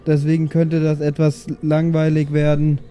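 Man as a mellow, cheeky, pestering prisoner. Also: a little high, completely flat delivery, normal speed, slight background noise, natural voice. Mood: playful. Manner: hesitant, meandering, politely insistent. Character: chatty, cheekily polite male prisoner pestering his guards.

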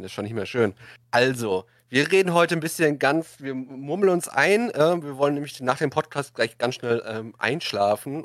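A man's voice: medium pitch, no room sound, clean studio sound. A man hosting a casual discussion, often restarting his sentences as he searches for words. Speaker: podcast and radio show host. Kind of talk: casual chat, moderating a discussion.

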